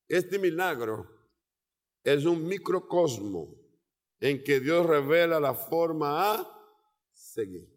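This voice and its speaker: Pastor, male, Portuguese accent, slow and deliberate, higher voice, long hall reverb. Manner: slow, emphatic preaching with repeated phrases. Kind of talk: sermon.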